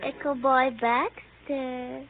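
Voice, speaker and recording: A woman's voice: sits high, little pitch movement, slow pace, phone-quality sound. An actress playing a coquettish young woman who gushes cloying baby talk to her lapdog in a 1930s radio comedy. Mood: coy, pouting. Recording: thin, band-limited 1930s radio recording, crowd.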